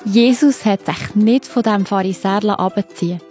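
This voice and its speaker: A woman with a Swiss German accent, gently narrating and explaining a Bible story to children. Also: mid-range voice, moderate pace, faint music behind.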